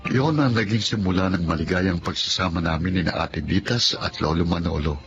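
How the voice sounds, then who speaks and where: An actor with a Filipino accent playing an earnest, sensitive young son in an emotional radio drama. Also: male voice, deep voice, brisk, noisy background. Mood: grateful, reflective. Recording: dull lo-fi audio, loud music behind.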